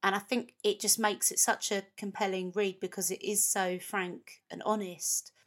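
Woman host, chatty, mid-pitched, encouraging interviewer. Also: flat delivery, moderate pace, fairly bright tone. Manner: chatty and encouraging, with short reactions. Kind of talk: casual interview chat.

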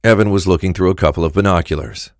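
A man's voice: low voice, quick pace, no echo, clean studio sound. A man reading scripted narration for a crime audio drama.

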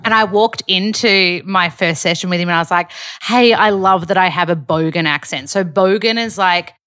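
A woman's voice: on the low side, normal speed, a bit bright. A woman from Australia with an Australian accent, hosting a podcast and chatting enthusiastically with her guest. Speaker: podcast host. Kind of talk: casual interview chat.

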